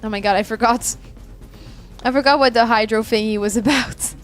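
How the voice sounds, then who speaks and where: A woman's voice: a little high, fairly even intonation, moderate pace, faint music behind. A woman game streamer chatting casually and commenting live on her play. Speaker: game livestreamer.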